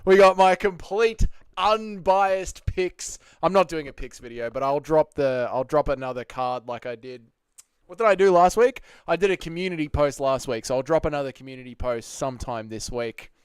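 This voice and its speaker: A young Australian man hosting a live stream, chatting with viewers in excitable, slangy, sweary banter. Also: fairly high pitch, normal speed, clear, resonant tone, no echo. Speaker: streamer host.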